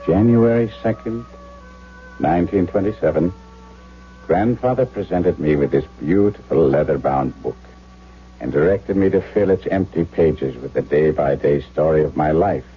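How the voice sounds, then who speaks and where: An actor playing an earnest young German doctor in a 1970s radio drama. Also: male voice, a bass voice, moderate pace. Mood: reflective. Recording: thin phone-like vintage radio recording, faint music.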